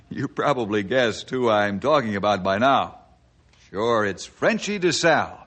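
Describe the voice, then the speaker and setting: A man playing a friendly, steady forest ranger who narrates and acts in an old-time radio drama, in a folksy storytelling style. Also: fairly low pitch, measured pace. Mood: folksy, admiring. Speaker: narrator and lead character.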